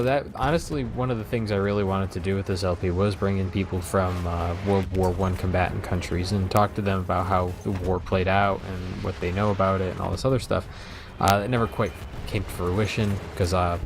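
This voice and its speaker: A man's voice: deep voice, steady tone, fairly quick, noisy background. A man hosting a let's play, chatting casually as he comments on gameplay. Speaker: host of a video game let's play.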